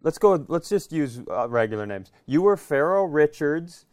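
A man's voice: fairly high pitch, sing-song, moderate pace, a little mellow, dry recording. Man telling a comic story, dry sound. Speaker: comedy podcast co-host.

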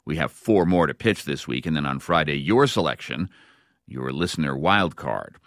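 A man's voice: extremely low pitch, expressive, moderate pace, fairly bright tone, no echo. An American man hosting a radio show with scripted narration in a studio. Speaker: radio host.

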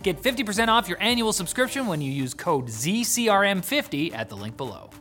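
A man's voice: high voice, sing-song, a little fast, pushed and bright, soft background music. A man reading a scripted sponsor message as co-host, upbeat and jokey.

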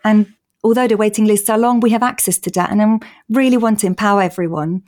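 A woman's voice: mid-range voice, fairly even intonation, a little mellow. A woman in her forties from Austria, with an Austrian accent, speaking openly and earnestly as an interview guest. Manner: earnest, open, quick-flowing. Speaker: guest, patient advocate. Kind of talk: candid interview conversation.

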